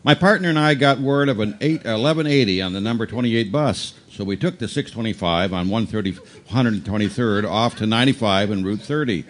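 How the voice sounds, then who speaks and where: A man playing an earnest, deadpan bus police investigator in a live radio drama parody, stumbling over some words. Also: fairly low pitch, brisk, hardly any pauses, natural voice. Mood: deadpan, official. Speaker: amateur performer in a live radio play. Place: live stage performance aboard a cruise ship. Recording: noisy live room recording.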